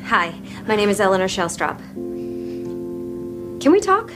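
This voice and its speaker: An actress playing a blunt, self-mocking young woman who talks in a fast, casual, wisecracking way. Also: medium pitch, very animated, over music. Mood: brash. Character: brash, self-deprecating young woman seeking to be good.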